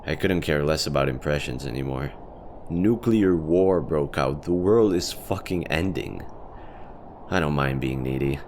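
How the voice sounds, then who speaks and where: Guy acting lonely easygoing post-apocalypse survivor dude, deep voice, talks casual n candid, bit needy, audio roleplay. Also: darkish tone, slight background noise. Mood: vulnerable, grateful.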